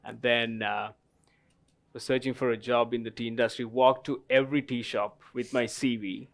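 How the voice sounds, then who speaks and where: A man's voice: mid-range voice, steady tone, normal speed, vocal fry. A man in his thirties from Sri Lanka, a guest at a tea festival panel, telling his story with lots of fillers and the odd laugh. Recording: live festival stage talk.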